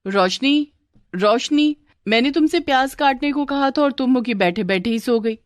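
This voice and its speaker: Woman as a scolding village elder sister, raised pitch. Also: expressive, moderate pace, dry recording. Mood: exasperated scolding. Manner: bossy, exasperated. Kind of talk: cartoon moral story acting.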